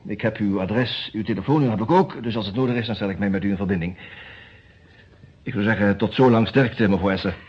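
A man acting a formal, cautious lawyer in a radio detective play, speaking in measured, businesslike phrases with hesitant pauses. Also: lowish voice, breathy edge. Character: formal, cautious male defence lawyer who also narrates. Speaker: actor in a radio detective serial. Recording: dull, muffled old radio recording.

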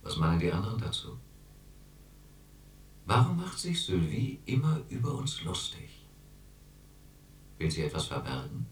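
A man's voice: deep voice, huge pitch swings, measured pace, long pauses, a little hiss or hum, exaggerated voice. An actor playing a domineering group therapist in a radio drama, pressing and condescending as he questions. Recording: roomy, distant mic.

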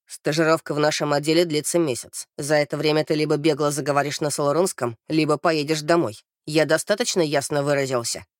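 A woman voicing a stern, bearded male police captain from an audiobook, formal and commanding with crisp diction. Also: low-pitched, steady tone, brisk, hardly any pauses, dry recording, studio quality, pitched below the performer's own voice, natural voice.

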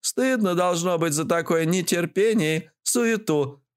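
A man's voice: sits high, measured pace, smooth, voice pushed up. A man voicing a sly, bossy old granny with a folksy, mocking and scolding manner, in a storybook reading. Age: older.

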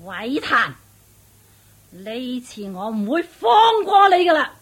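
A woman's voice: fairly high pitch, sing-song, a bit bright, slightly rough, heavily acted. A woman playing a shrewish, domineering and vengeful witch in a radio drama, nagging and taunting in Hong Kong Cantonese. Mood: menacing. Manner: nagging, taunting, drawn-out emphatic delivery. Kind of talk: theatrical radio drama acting.

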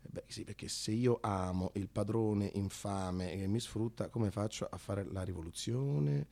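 A man performing a theatrical radio monologue, conversational and halting, repeating phrases and swinging from hushed to excited. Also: lowish voice, gravelly. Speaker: solo narrator-actor.

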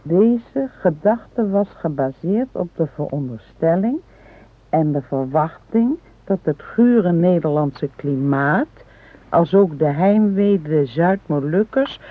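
An actress playing a fretful, nagging middle-aged wife in a naturalistic radio drama, earnest and reproachful, with a husky voice. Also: female voice, sits low, full character voice. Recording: tinny, phone-like radio recording.

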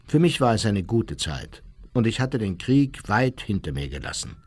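A man's voice: lowish voice, very animated, normal speed, a little mellow, slightly rough, dry recording. An actor playing a self-justifying German Nazi war criminal, narrating his memoirs in a composed, matter-of-fact way for a radio drama.

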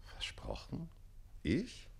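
An actor playing a jealous, lecherous, haughty count in a radio play, theatrically swinging between muttering and outbursts. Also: male voice, drawn-out words. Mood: sly, seductive. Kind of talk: classic German radio play acting.

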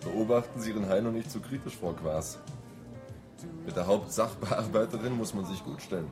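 Man acting smooth divorced lover, slightly low voice, suggestive, hesitant, radio crime drama, music behind. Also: natural voice. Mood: suave, suggestive. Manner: suggestive, halting.

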